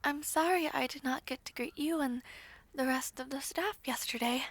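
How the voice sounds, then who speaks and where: A woman playing a shy, humble young former maid in an audio roleplay, soft-spoken, hesitant and formally polite. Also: high voice, moderate pace, no room sound. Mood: bashful, hesitant. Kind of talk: scripted audio roleplay acting.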